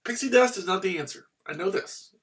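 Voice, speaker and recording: A man from the United States vlogging about ham radio, muttering to himself as he searches on his computer, with bursts of excited cheering. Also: sits high, brisk, breathy edge. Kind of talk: casual screen-recorded commentary.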